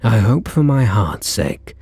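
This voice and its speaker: A man's voice: fairly low pitch, sing-song, darkish tone, husky, no room sound, exaggerated voice. A man with a British accent playing a smitten kidnapper, a sweet-talking gangster, in an intimate ASMR roleplay. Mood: regretful, tender. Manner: slow, hesitant sweet talk with pet names.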